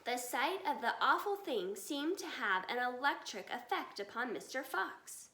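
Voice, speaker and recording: A woman narrating a children's story aloud in an expressive reading style. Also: high-pitched, unhurried, a little hiss or hum. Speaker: storybook reader. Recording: echoey, reverberant room.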